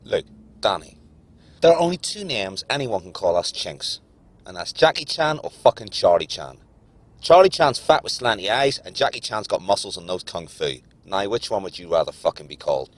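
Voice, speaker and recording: A man's voice: deep voice, expressive, moderate pace, no echo. A man playing an aggressive, foul-mouthed bully with a menacing, taunting manner in a film scene. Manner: confrontational, sweary.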